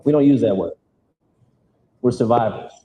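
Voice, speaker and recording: A man's voice: moderate pace, narrow, tinny audio. A man hosting a live community event in a motivational style, encouraging and directing the people listening. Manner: encouraging, directive, rallies audience to respond. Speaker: event host. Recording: live recording with faint rumbling noise.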